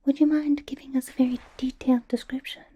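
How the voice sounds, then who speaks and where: A woman playing a chatty, suspicious young superheroine in a soft, breathy ASMR whisper, rambling through a playful interrogation. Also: high voice, flat delivery, fast, dull audio. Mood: curious, probing. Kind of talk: ASMR roleplay, mostly whispered personal attention.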